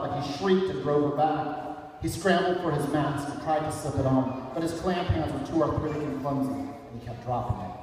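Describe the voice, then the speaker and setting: A man's voice: fairly high pitch, a little mellow. A man giving a dramatic reading of a story aloud, recorded with a distant microphone in a large room. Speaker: author reading from a book. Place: large echoey room at an elementary school. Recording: very echoey hall from far off, faint noise.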